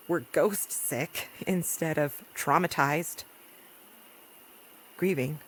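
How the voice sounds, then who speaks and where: A woman's voice: deep voice, slight background noise, rough recording. A woman playing a weary, principled rural doctor in an audio drama, blunt and sardonic. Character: weary, principled rural doctor and mother in post-apocalyptic wilds. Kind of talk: naturalistic audio drama acting.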